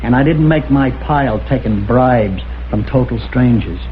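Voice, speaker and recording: A man's voice: medium pitch, moderate pace, soft background music, close to an everyday voice. Man acting a crusty old American hotel owner, tinny. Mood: blunt, proud. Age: older. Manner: folksy, blunt, deadpan, ungrammatical. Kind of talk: vintage crime drama acting. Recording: tinny vintage broadcast, faint noise.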